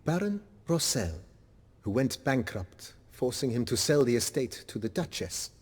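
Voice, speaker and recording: Casting: proper, loquacious fantasy-game steward, very breathy husky voice, lively swooping intonation, genteel and formal, in a reverberant space. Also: male voice, medium pitch, a little slow. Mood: animated storytelling. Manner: formal, genteel, theatrical emphasis. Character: courteous, cultured male estate steward, proper and loquacious. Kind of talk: fantasy video game dialogue.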